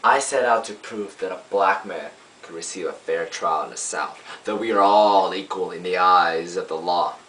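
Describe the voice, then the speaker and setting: A man playing a young, earnest defense lawyer, pleading to a jury in an emphatic, oratorical monologue with deliberate pauses. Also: low voice, a bit bright. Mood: impassioned. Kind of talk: dramatic courtroom monologue. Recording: roomy, distant mic, faint hiss.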